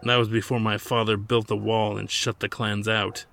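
A man's voice: on the low side, flat delivery, normal speed, gravelly, a bit bright, a little hiss or hum. A man voicing an annoyed young princess who speaks curtly. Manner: cold, clipped.

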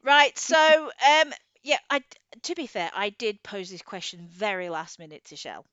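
Female podcast co-host, smooth clear voice, lively joking chat, dry but slightly lo-fi sound. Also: mid-range voice, moderate pace, no echo. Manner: animated, jokey, sweary. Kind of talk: casual chat.

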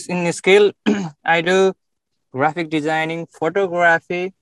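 A young man from Nepal, speaking in a casual interview, often pausing and searching for words with many fillers. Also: on the high side, clear, resonant tone, dry recording.

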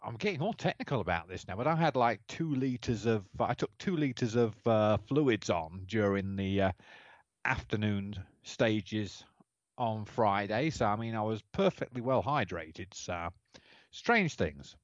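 A man co-hosting a rally podcast, rambling and hesitant with many fillers, but animated. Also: on the low side, a little slow, dry recording, muffled recording. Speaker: podcast co-host. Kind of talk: casual podcast chat.

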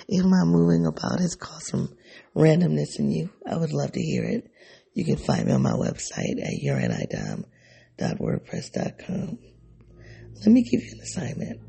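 A man with a raspy, tired voice talking through a personal reflection to his viewers, musing and rambling as he searches for answers. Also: a little high, dark tone. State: sleepy, voice going. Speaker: vlogger.

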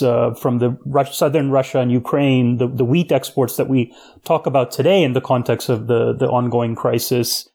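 A man born in Pakistan, a guest on an interview show, explaining history at a measured pace with occasional fillers. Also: medium pitch, mellow. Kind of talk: podcast interview answers.

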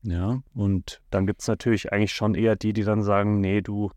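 A man talking calmly and at length on a casual podcast, giving advice. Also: on the low side, no echo, studio quality. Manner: calm, measured, explains at length with fillers. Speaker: podcast co-host.

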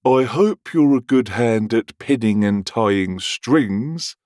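Man acting a slow, solemn, fussy little man. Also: mid-range voice, animated, darkish tone, dry recording, studio quality. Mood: grave. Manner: grave, earnest.

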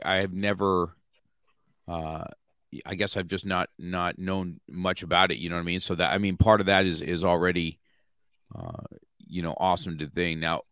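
Deep-voiced rambling host. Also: male voice, fairly bright tone, no echo. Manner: rambling, many restarts, chuckling. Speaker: podcast host. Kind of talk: casual interview chat.

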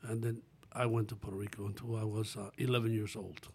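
An older man from the Dominican Republic, a musician answering interview questions in a relaxed, plain-spoken way with short answers. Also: on the low side, quick pace, gravelly, husky. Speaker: guest musician. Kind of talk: casual interview.

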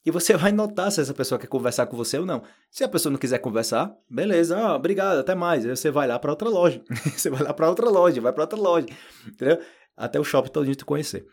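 A man from Brazil with a Brazilian Portuguese accent, hosting a casual podcast lesson. He talks in a chatty way, fills his talk with little fillers and acts out quoted people in a higher voice. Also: lively intonation, fast. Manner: lots of fillers like "tipo" and "né". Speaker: Portuguese teacher, podcast host.

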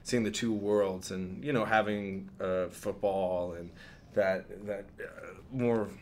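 A man from New York, an actor, chatting casually in an interview, animated and rambling. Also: fairly low pitch, slow pace. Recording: crowd noise behind.

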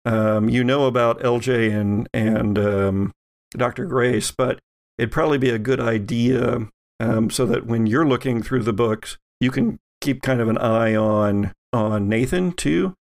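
A man's voice: medium pitch, unhurried, creaky. A man narrating an improvised tabletop game as game master, explaining things conversationally.

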